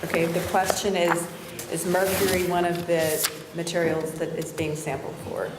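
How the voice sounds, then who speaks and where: Woman, moderator, brisk, directing the room, far off, loud background noise. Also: fairly low pitch, flat delivery. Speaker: meeting moderator. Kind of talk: moderating a hearing. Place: public meeting hall. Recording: distant, very echoey, noisy hall.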